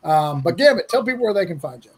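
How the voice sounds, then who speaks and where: A man with a husky, gravelly voice hosting a casual livestream, talking informally and in halting pieces. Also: sits high, sing-song, noisy background. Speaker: livestream host and comic project organiser. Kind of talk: casual livestream announcement and chat.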